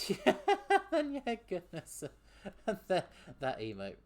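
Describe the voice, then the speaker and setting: A man's voice: high voice, wildly swooping pitch, slow, darkish tone. A man streaming a game, chatting with his viewers, often laughing and sometimes tripping over words. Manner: chatty, laughs often, reads chat aloud; trips over words at times. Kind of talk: casual gaming stream commentary.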